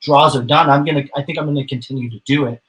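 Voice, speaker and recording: A man's voice: fairly high pitch, fairly even intonation, fast, fairly bright tone. A man chatting casually as an interview guest, rambling with many fillers and the odd curse. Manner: rambling, frequent fillers and swearing. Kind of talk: casual chat.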